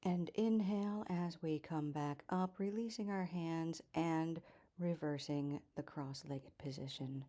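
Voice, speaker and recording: A woman teaching a yoga class, giving calm, measured instructions with soft, breathy phrase endings. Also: sits low.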